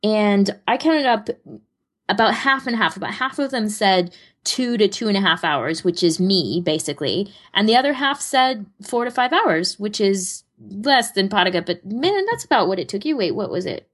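A woman's voice: medium pitch, expressive, normal speed, a bit bright. A woman co-hosting a podcast, talking things over in a casual, conversational way. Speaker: podcast co-host. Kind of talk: casual discussion.

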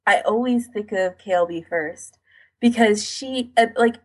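Husky-voiced woman chatting casually. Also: mid-range voice, unhurried. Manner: informal, frequent "like" as filler. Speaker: podcast co-host. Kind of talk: casual podcast chat.

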